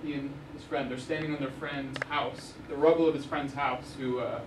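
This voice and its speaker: A man's voice: mid-range voice, steady tone, normal speed. A young man giving a casual slide lecture with frequent ums and restarts, heard from a distance in a university lecture hall. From Arizona, USA. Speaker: journalist giving a talk. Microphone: room mic at a distance. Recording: echoey hall, mic far off, noisy.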